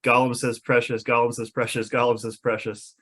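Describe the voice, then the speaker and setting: Mid-pitched man narrating stage directions. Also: little pitch movement, brisk, fairly bright tone, husky. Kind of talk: scripted narration at a table read.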